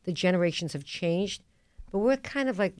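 A woman's voice: fairly low pitch, animated, moderate pace, no echo. A woman, hosting a casual radio talk show in a blunt, emphatic way and often repeating herself. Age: 50s. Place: radio studio.

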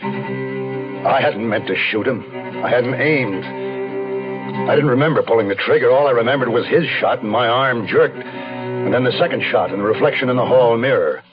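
A man's voice: mid-range voice, moderate pace, pauses often, music in the background, close to an everyday voice. An actor playing a wounded American man on the run, telling his crime story in terse first-person radio drama narration. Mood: shaken. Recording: dull 1970s radio recording.

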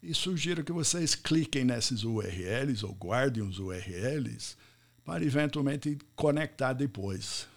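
A man's voice: mid-range voice, expressive, unhurried, gravelly. A middle-aged American man, a native English speaker, speaking Portuguese in a live online talk and telling anecdotes with repeated words for effect. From United States. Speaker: event speaker.

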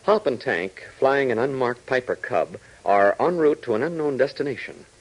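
An American man delivering scripted narration as a 1940s radio announcer. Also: fairly low pitch. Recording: dull vintage 1940s radio recording.

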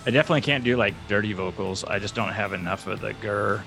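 A man with a baritone voice co-hosting a music podcast, rambling through a joking story. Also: fast, vocal fry, fairly bright tone. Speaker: podcast co-host. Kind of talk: casual interview chat.

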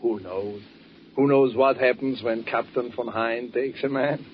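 A man plays a sly official from a 1940s radio thriller. The voice is medium in pitch, with a smooth, insinuating menace. The old recording is muffled, with faint noise. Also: fairly even intonation, a little slow, close to an everyday voice. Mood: sly, probing. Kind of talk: 1940s radio drama acting.

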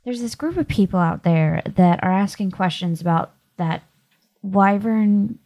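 A woman's voice: medium pitch, expressive, measured pace, mellow. A woman playing a harried, uneasy barkeep in a tabletop game, hesitant and polite. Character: harried, uneasy female barkeep busy with work.

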